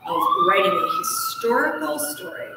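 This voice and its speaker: A woman hosting a conversational book interview, effusive and discursive, pausing often as she builds long questions. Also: very high voice, huge pitch swings, creaky. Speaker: host and interviewer. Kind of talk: live on-stage style book interview, conversational. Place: large ornate hotel room, indoors. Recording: echoey room, distant mic, faint noise.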